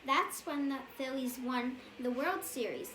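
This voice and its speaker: A woman's voice: high voice, unhurried, a bit bright. A woman reading a bedtime story aloud, stumbling a little over words and correcting herself. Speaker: storybook reader. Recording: echoey room with faint background noise.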